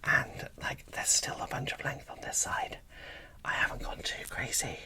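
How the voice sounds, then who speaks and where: A host speaking in a breathy whisper into a close microphone, giving casual, enthusiastic ASMR unboxing commentary. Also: moderate pace, sharp tone.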